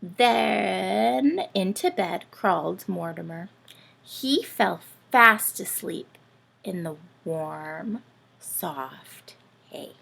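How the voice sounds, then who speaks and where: A woman reads a children's picture book aloud with very animated intonation. Her pace is unhurried, with drawn-out dramatic phrases, and her voice has a gravelly creak. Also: mid-range voice. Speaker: children's story reader.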